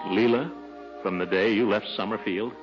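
An actor playing a pompous, sentimental middle-aged bachelor in 1940s radio comedy style. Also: male voice, lowish voice, fairly even intonation, moderate pace, natural voice. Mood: lovesick, tender. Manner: theatrical, sentimental. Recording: dull old radio recording, loud music.

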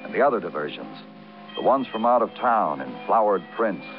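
An actor playing a weary, poetic Broadway police detective in a 1950s radio crime drama. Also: male voice, on the low side, big pitch swings, normal speed, soft background music. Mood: wry, lyrical. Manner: terse in dialogue, lyrical in narration. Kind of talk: 1950s radio noir narration and dialogue. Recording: muffled vintage radio recording.

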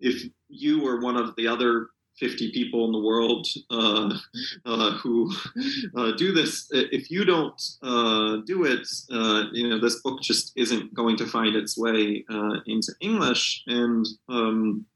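A man's voice: mid-range voice, fairly even intonation, a little slow, a bit bright, reverberant, poor audio. A man who works as a literary translator, answering interview questions in a hesitant way, with many fillers and restarts.

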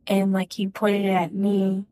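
A woman giving courtroom testimony in a halting, hesitant way, with many restarts and fillers. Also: medium pitch, monotone, mellow, no echo. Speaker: witness.

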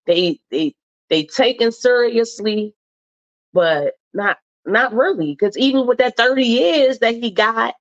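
A talkative woman hosting a live show, emphatic and full of slang, her voice sitting a bit high. She sounds a little stuffed up, and the stream audio is dull and lo-fi. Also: expressive, unhurried. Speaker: host. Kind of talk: casual live-show chat and commentary.

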